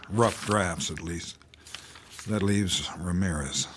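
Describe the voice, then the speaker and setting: A man playing a weary, conscientious army captain with a measured, somber manner in a radio drama. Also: low voice, a bit bright, slight background noise.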